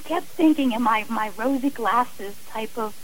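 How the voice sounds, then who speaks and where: A woman's voice: a little high, a little slow, a little hiss or hum, poor audio. A woman speaking as an interview guest on radio, earnest and emphatic, explaining with examples and repeated phrasing. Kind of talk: radio interview, personal and advisory talk.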